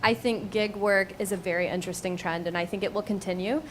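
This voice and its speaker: A woman's voice: medium pitch, fairly even intonation, fast talker. Woman executive on panel, mid-range voice, fluent explanations, faint noise. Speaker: company co-founder and panelist. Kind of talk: panel discussion answers.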